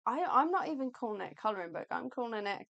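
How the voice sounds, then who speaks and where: A woman co-hosting an art livestream in a chatty, upbeat, rambling way, her voice going slightly hoarse. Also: a little high, sing-song, rapid-fire, fairly bright tone, muffled recording. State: losing her voice. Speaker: livestream co-host, artist. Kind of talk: casual live chat.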